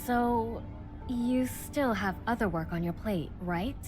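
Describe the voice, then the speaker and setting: A woman's voice: on the high side, slow pace. An actress playing a polite, appreciative young female staff worker in an anime game. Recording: background music under the voice.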